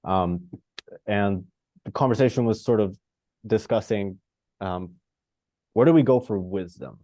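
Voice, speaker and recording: A man from Costa Rica with a low, soft, mellow voice, recorded dry and close on a video call. He thinks out loud and rambles, full of "um" and "like" and restarts as he searches for what he means. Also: a little slow, no echo. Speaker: participant. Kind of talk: reflective discussion on a video call.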